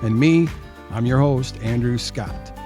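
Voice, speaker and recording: A man's voice: medium pitch, unhurried, music in the background. A man hosting an audio theater program, reading scripted credits as an announcer. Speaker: host and announcer.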